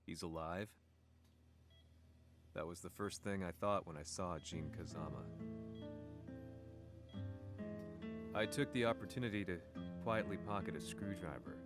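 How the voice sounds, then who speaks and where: A man playing a brooding, vengeful journalist, narrating his story in a solemn, measured, scripted way for a video game. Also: deep voice, lightly acted. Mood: tense, reflective. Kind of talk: scripted dramatic first-person narration. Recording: loud guitar music behind.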